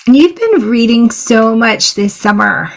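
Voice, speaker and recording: A middle-aged woman, a professor and researcher, co-hosting a podcast in casual chat, her voice a little hoarse, upbeat and quick to laugh. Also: on the high side, expressive, poor audio.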